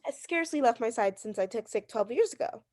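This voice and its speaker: A high-pitched, fretful woman, played by an actress, as a bedridden wife in a 1940s-style radio thriller. She is neurotic and imperious, pressing her points insistently and stammering when challenged. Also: lively intonation, fairly quick, a little mellow. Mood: dismissive, self-satisfied. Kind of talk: 1940s radio drama acting.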